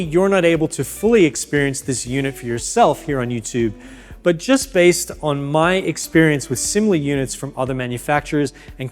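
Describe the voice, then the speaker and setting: A man presenting an upbeat, clearly explained review and setup of a portable loudspeaker. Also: fairly high pitch. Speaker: product reviewer. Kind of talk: gear review and unboxing.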